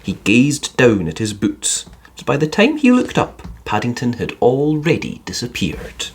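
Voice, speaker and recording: Low, husky woman reading very expressively. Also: wildly swooping pitch, measured pace. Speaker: storybook reader. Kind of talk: expressive children's storybook narration.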